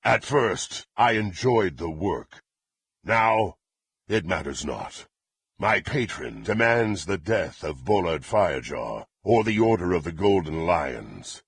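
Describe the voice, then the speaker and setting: Fantasy game villain warrior: low, heavily gravelled and husky, brutal and imperious, swinging widely in pitch. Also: male voice, a bit bright, no room sound, full character voice. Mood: grim, brooding. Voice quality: gravelly, raspy. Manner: threatening, imperious, archaic formal diction. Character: brutal, menacing half-orc warrior serving a demonic patron. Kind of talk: fantasy video game character acting.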